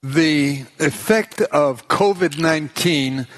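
A man preaching in short, deliberate, rhetorical phrases, pausing as if for an interpreter. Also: on the high side, fairly bright tone, rough recording. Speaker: preacher giving a lecture.

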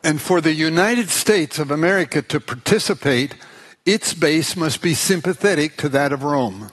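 Slow, measured male preacher. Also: fairly high pitch, lively intonation, fairly bright tone, husky. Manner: slow, measured delivery in short phrases. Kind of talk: sermon with live interpreter.

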